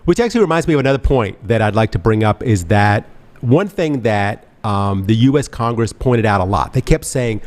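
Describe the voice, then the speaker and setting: A man's voice: lowish voice, expressive, fairly quick, darkish tone. A man co-hosting a casual talk radio show, chatting animatedly and quoting others in mock voices.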